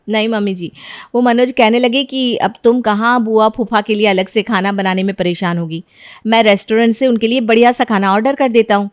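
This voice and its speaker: A woman voicing a careless, defensive young wife in an animated story. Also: on the high side, moderate pace, no echo, clean studio sound, lightly acted. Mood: sweet, innocent. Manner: defensive, argumentative.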